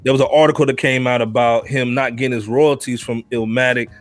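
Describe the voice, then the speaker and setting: A man's voice: mid-range voice, steady tone, a little fast, faint music behind. A man hosting a podcast with slangy, casual banter, often checking in with the people he talks to. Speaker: podcast host.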